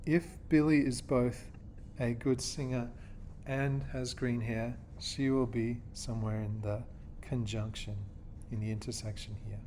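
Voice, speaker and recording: A man's voice: mid-range voice, steady tone, unhurried, few pauses, background noise. A man from Australia teaching a geometry class, explaining step by step and checking in with his students often. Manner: patient, checks in with "okay?" and "yeah?".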